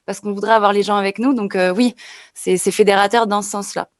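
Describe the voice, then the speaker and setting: A woman's voice: mid-range voice, steady tone, a little fast. A woman chatting casually and in a friendly way as a singer being interviewed. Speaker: singer in a duo, interview guest.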